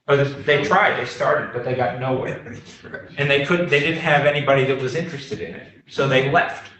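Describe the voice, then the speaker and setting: An older American man giving an informal lecture, telling anecdotes in a halting, self-deprecating way. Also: mid-range voice, fairly even intonation, a bit bright. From Boston, United States. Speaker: guest lecturer, development consultant. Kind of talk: informal slide lecture with audience questions. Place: echoey meeting room before a small audience. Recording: very echoey room, distant microphone.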